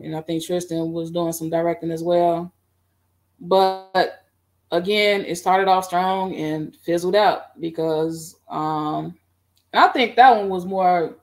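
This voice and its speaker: A middle-aged woman on a video call, recounting her band history in a relaxed, rambling way with many fillers. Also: fairly low pitch. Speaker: guest band musician. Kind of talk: casual interview. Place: home room.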